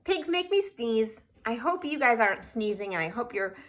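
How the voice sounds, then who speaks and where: A woman reading a children's picture book aloud, expressive and speaking to young listeners. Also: fairly high pitch, normal speed, a little hiss or hum. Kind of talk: storybook read-aloud.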